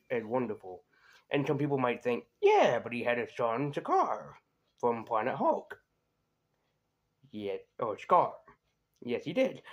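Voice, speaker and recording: A man's voice: mid-range voice, highs cut off. A man reviewing cartoons in a casual, conversational way, hesitating and drifting into asides as he goes. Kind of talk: casual rambling review commentary.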